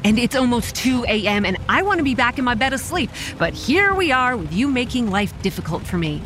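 A woman's voice: a little high, very animated, a little fast, fairly bright tone, slight background noise. A young woman acting a wry, weary campground manager narrating a horror audio drama in a dry, exasperated way. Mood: exasperated, urgent. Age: young adult. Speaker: narrator and lead character.

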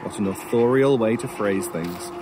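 An actor playing an urbane, sardonic crow in an audio drama, wordy and mockingly polite in a pompous way. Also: male voice, fairly low pitch, normal speed, close to an everyday voice. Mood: fastidious, amused. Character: urbane, sardonic male talking crow, gleefully macabre scavenger. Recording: clear outdoor noise with cawing crows. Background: outdoor ambience, crows, vehicles.

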